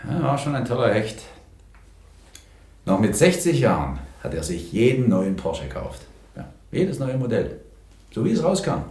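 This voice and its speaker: A man's voice: mid-range voice, sing-song, moderate pace, long pauses, a little mellow, natural voice. An actor playing a chatty, folksy print-shop foreman who muses about the old days in a radio play. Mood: fond. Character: chatty male print-shop foreman fondly recalling his late boss. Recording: echoey, distant, noisy room.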